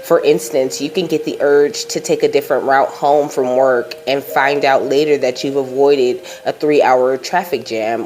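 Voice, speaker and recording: A woman's voice: rumbling low, a little mellow. A woman giving a casual psychic card reading, rambling and reassuring, peppering her talk with "you know" and "okay?" while passing on messages from Spirit. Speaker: psychic tarot reader.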